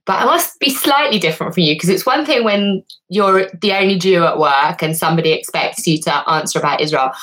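A woman co-hosting a podcast, a parent who asks curious questions and thinks out loud. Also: on the low side, a little fast, few pauses. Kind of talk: casual podcast chat.

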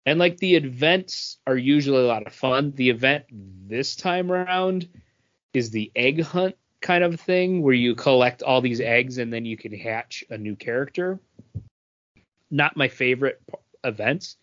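Man, mid-pitched voice, casual gaming chat, podcast co-host, slightly dull sound. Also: measured pace, fairly bright tone. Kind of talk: casual chat about mobile games.

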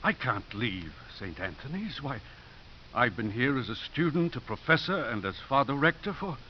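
An actor playing a stubborn, spirited elderly priest and college rector in a 1950s radio drama. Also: male voice, medium pitch, big pitch swings, moderate pace, highs cut off, close to an everyday voice. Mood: dismayed. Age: older. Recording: hissy 1950s radio recording.

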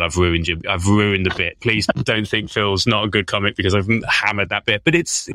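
A man's voice: low-pitched, sharp tone, no echo. A man from the south of England, a stand-up comedian, talking in an earnest, chatty and self-deprecating way. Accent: southern English. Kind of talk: casual conversation.

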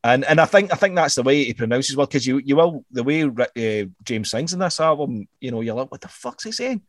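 A man's voice: mid-range voice, animated, fairly quick, dry recording, clean studio sound. A man, casually talking through an album as a podcast co-host, rambling and swearing, repeating words as he goes.

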